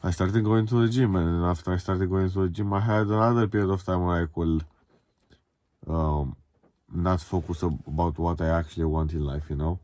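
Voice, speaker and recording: Young romanian guy, really low mellow voice, just rambling honestly to camera, sweary. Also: steady tone, brisk, dry recording. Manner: candid, reflective, swearing. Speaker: vlogger. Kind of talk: casual confessional monologue to camera.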